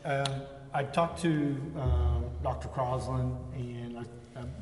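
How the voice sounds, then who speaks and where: A man chairing a city council meeting informally, with a halting way of talking. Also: medium pitch, steady tone, darkish tone. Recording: echoey chamber with background noise.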